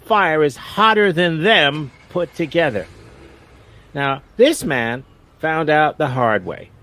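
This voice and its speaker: A man's voice: a little high, animated, slow, sharp tone, full character voice. A man playing an earnest TV field reporter in a parody newscast, delivering trivial facts with solemn weight.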